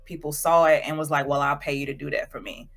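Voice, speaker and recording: A woman from Georgia in the United States, a visual artist who is a podcast guest, telling stories in a relaxed, chatty way with casual slang. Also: deep voice, fast, soft background music. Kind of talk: casual interview.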